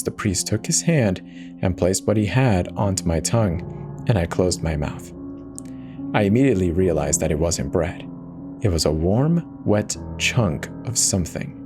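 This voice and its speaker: Man playing a matter-of-fact confessor with swooping pitch. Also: darkish tone. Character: confessional devout man turned self-eater, casually rationalizing. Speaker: horror story narrator. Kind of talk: first-person dramatic horror reading.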